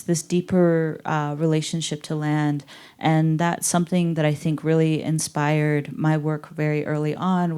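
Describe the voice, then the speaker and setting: Brief: young woman, very low and even voice, mellow tone, reflective and measured. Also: clean tone. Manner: measured, thoughtful, with fillers. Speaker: artist in conversation. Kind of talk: reflective conversational talk.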